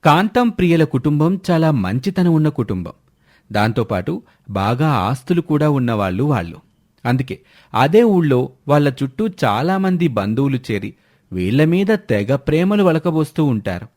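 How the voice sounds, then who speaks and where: A man's voice: on the high side, sing-song, moderate pace, darkish tone. A man narrating a scripted moral family story as the storyteller.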